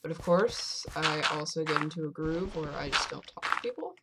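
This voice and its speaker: Young woman gamer, low voice, slow absurd rambling, heavy background noise. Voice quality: fairly low voice. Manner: absurd deadpan rambling, sudden loud outbursts. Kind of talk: rambling joking commentary while playing.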